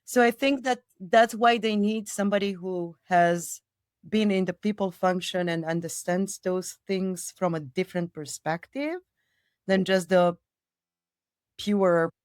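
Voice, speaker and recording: A middle-aged woman from Romania, Romanian her first tongue, speaking in English in a fairly low voice. She answers at length, repeats words for emphasis and drifts into detours. The recording is dry and close, with no room sound. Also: fairly even intonation, moderate pace, a little mellow, dry recording. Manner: expansive, digressive, emphatic repetition. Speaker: people operations director, guest. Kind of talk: interview answers.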